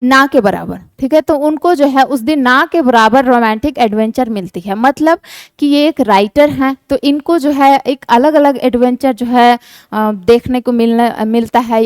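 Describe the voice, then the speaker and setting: High-voiced woman teacher. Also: darkish tone, no room sound. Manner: reads English lines slowly, then explains with frequent "ठीक है" fillers. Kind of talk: Hindi explanation of an English textbook chapter.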